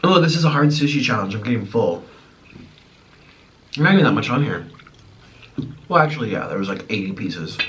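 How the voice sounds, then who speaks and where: A man's voice: medium pitch, sing-song, fairly quick, pauses often, fairly bright tone. A man in his twenties, born in Ukraine and raised in the United States, chatting and rambling as he eats on camera at home. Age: 20s. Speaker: mukbang host. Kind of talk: casual chat while eating. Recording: slightly distant mic, faint room noise.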